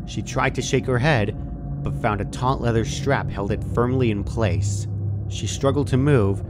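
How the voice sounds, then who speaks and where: A man's voice: medium pitch, measured pace, loud music behind the voice. A man reading a story aloud as a scripted narrator. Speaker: fan fiction narrator.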